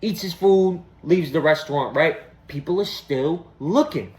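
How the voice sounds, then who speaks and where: Young boston guy doing a stand-up rant, high voice, swears a lot, echoey room. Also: a little slow, darkish tone. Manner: profane, streetwise, repeats "you know what I'm saying". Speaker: comedy channel host. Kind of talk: casual stand-up monologue to camera.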